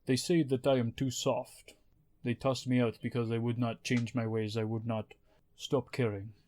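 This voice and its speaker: A man voicing a gentle, compassionate male prisoner who was cast out, in a soft, sad voice. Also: medium pitch, level tone, moderate pace, lightly acted.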